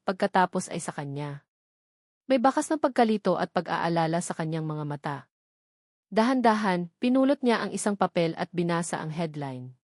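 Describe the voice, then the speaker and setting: A woman with a Filipino accent narrating a scripted story in a dramatic, deliberate way. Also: medium pitch, darkish tone, dry recording, studio quality. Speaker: audiobook narrator.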